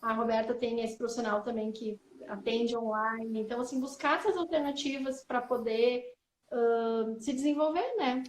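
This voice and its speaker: A woman's voice: on the high side, moderate pace, echoey room, poor audio. A woman from Brazil, a coach chatting casually on a live stream and filling her talk with "né" and "assim". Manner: warm reflective, many fillers like "né" and "assim". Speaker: guest coach.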